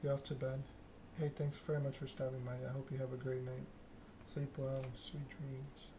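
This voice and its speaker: A man streaming a text game, reading narration aloud in a soft-spoken ASMR style with casual chat. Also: mid-range voice, completely flat delivery, moderate pace. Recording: clear background noise.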